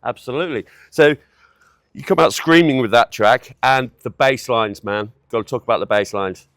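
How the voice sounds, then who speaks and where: A man in his forties chats informally as an interviewer. His voice sits at an average pitch, recorded outdoors with a very dry sound and no echo. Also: normal speed, fairly bright tone. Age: 40s. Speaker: interviewer, magazine series host. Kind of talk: casual on-location interview chat. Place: outdoors in a public park.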